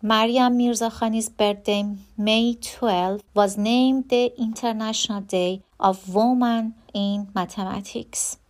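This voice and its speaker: A woman from Iran reads her prepared talk at an unhurried pace. Her delivery is deliberate, as if she is placing each word with care. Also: on the high side, steady tone. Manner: slow, deliberate reading. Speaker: ESOL student. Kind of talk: reading a prepared short talk.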